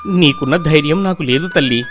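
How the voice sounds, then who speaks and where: A man's voice: fairly high pitch, brisk, over music, close to an everyday voice. A man voicing a timid, humble village barber in a storybook tale, anxious and deferential. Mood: fearful. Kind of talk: animated storybook dialogue.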